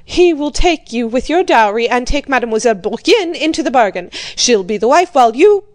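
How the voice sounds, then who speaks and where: A woman voicing an irascible, domineering old prince who shouts at his daughter. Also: slow pace, dry recording. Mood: angry. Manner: shouting, rising pitch.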